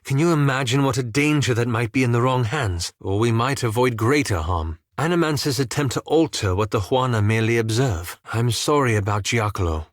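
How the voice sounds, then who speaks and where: A man playing a courteous, reserved elven wizard in a fantasy game, with formal, articulate and measured delivery. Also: medium pitch, animated, no room sound, clean studio sound, close to an everyday voice. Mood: serious, skeptical. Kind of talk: scripted fantasy game dialogue.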